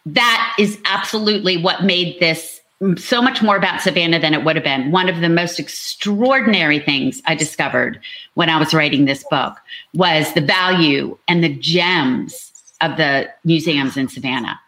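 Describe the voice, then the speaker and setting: Woman author chatting on a book panel, voice a bit low, earnest, tells it like a story. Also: normal speed, sharp tone, poor audio. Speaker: novelist, interviewee. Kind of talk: animated book talk on an online panel.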